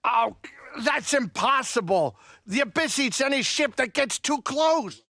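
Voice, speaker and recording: A man voicing a gruff but caring small alien saloon keeper in a video game, with a blustery, folksy, wisecracking delivery. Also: extremely high pitch, lively intonation, unhurried, sharp tone, no echo, clean studio sound, heavily acted. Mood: excited, blustery. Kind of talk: video game character dialogue.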